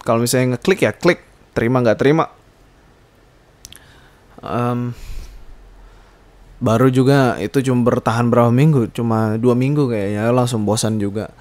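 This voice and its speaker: A man in his twenties with a medium-pitched voice talks alone as a podcast host. His delivery is informal and rambling. He often hesitates to find the right word and mixes in slang and bits of English. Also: fairly even intonation, moderate pace, pauses often, a little mellow. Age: 20s. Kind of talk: casual rambling solo podcast monologue.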